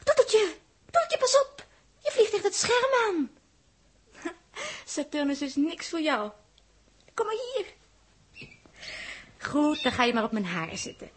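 High-pitched, anxious girl. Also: big pitch swings. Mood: fond, worried. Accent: Netherlands Dutch. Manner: emotive, halting, often muttering to herself. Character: anxious girl aboard a spaceship, fretful and tender. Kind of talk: 1960s Dutch radio drama acting.

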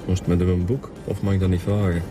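An actor with a Flemish accent playing a weary, apologetic junior police detective with a hesitant way of talking in a radio drama. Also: male voice, low voice, little pitch movement, quick pace, over music, lightly acted. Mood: glum, teasing. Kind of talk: radio drama acting in Dutch.

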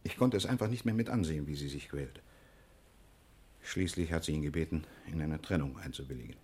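Low, husky, courteous pharmacy owner. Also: male voice, brisk, a little mellow. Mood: troubled, earnest. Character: courteous male pharmacy owner, shaken but protesting. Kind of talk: 1960s German radio crime drama acting.

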